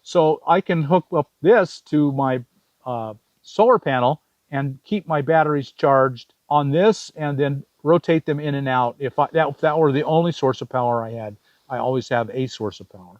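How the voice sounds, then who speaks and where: A man's voice: on the high side, no echo. A man giving a casual product review, explaining things plainly and repeating points for emphasis. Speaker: product reviewer for van life gear. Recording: slightly dull, dry lo-fi sound.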